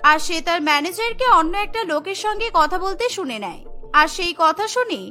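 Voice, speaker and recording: Female cartoon narrator: dramatic scripted storytelling, very high pitch, bright tone. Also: expressive, a little fast, faint music behind.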